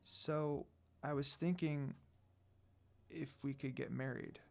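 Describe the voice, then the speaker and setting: A man voicing a kind, gentle young woman in love in a romance story, speaking softly. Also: medium pitch, steady tone, slow, creaky, faint music behind, pitched below the performer's own voice. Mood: gentle, affectionate.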